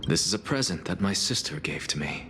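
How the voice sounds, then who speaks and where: A man's voice: deep voice, expressive, fairly quick, bright, forceful tone, slight background noise, exaggerated voice. A man voicing a proud, sardonic scholar and defiant alchemist in a video game, with a dry, theatrical, biting delivery. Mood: grave, reflective. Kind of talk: dramatic video game voice acting. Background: music.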